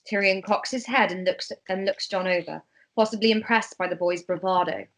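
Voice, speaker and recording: A woman's voice: mid-range voice, steady tone, moderate pace. A woman reading scripted narration and stage directions in an amateur table read.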